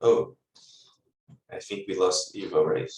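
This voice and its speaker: Lowish-voiced man hosting a video call. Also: fairly even intonation, takes it slowly, darkish tone. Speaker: host of an online session. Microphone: computer mic on a video call. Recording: roomy, distant video-call audio.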